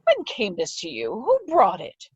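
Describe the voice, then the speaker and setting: A woman's voice: medium pitch, huge pitch swings, measured pace, husky, dry recording, exaggerated voice. A woman playing an aging, credulous earl and easily outraged father in a theatrical Shakespearean drama reading. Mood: troubled, probing. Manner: theatrical, exclamatory.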